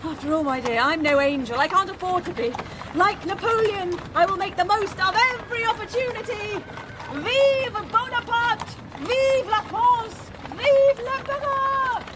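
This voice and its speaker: Regency radio drama: sly, ambitious young Englishwoman, high-pitched, arch and flattering, faint background ambience. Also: a little slow, noisy background. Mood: defiant, exultant. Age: young adult. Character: witty, scheming, ambitious young Regency woman.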